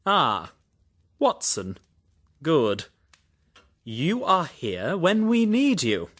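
Brisk sing-song detective for an audiobook, very lively pitch swings, unhurried delivery. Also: male voice, heavily acted. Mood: courteous. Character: brisk, eager middle-aged male detective. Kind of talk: audiobook character reading.

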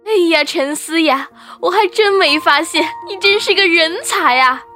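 A woman acting as a bossy restaurant boss in an audio drama. The voice is high and sharp, pragmatic in manner, with light music behind. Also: lively intonation, normal speed, heavily acted. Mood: approving.

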